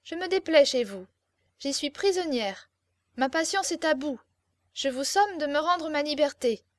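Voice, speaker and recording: A woman's voice: sits high, animated, unhurried, no room sound, clean studio sound, pitched above the performer's own voice. A woman reading a storybook, voicing a fiery, imperious young captive beauty with impassioned, theatrical exclamations. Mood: indignant.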